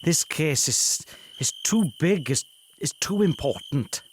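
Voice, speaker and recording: An actor playing an earnest, needy police detective in a comic audio drama, pleading and a little prissy. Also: male voice, a little high, expressive, takes it slowly, a little hiss or hum.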